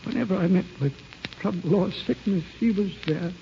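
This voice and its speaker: An actor playing a stern old uncle haunted by lost love, speaking solemnly and haltingly in old-time radio style. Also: male voice, high-pitched, gravelly, noisy background. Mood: wistful, solemn. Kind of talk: 1940s radio acting. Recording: muffled old radio recording.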